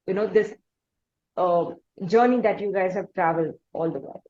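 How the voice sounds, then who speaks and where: A woman with an Indian accent hosting a music interview, asking long, halting questions that she rephrases as she goes. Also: lowish voice, mellow, muffled recording. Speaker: interviewer, podcast host.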